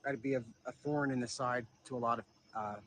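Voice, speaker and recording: A man's voice: mid-range voice, fairly even intonation, fairly quick, fairly bright tone. A man hosting a live stream in a casual, hesitant, informal way. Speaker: livestream host. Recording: noisy live stream with insect chirps.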